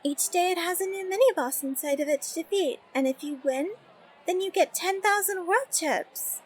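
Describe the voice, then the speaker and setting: Woman playing a very high-pitched, brash teen girl gamer. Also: normal speed, poor audio. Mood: urgent, explaining. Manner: animated, emphatic, teasing. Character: bubbly, impatient teenage girl gamer, brash and playful. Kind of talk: audiobook character acting.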